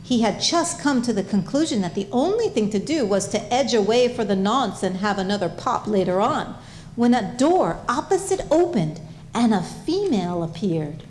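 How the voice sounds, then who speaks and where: A woman's voice: medium pitch, expressive, normal speed, a little mellow, a little hiss or hum. A woman reading scripted comic narration as the storyteller of a staged reading. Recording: roomy, echoing live recording.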